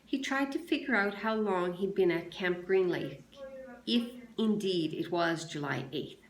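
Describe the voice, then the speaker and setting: A woman's voice: on the low side, a little slow. A woman, a teacher, reading a children's novel aloud with expression. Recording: echoey room sound.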